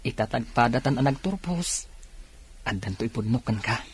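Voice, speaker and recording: A man's voice: medium pitch, lively intonation, normal speed, a little hiss or hum, natural voice. A man playing a grateful eldest son, a young new policeman, in an Ilocano radio drama. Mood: earnest, teasing. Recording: music under the voice.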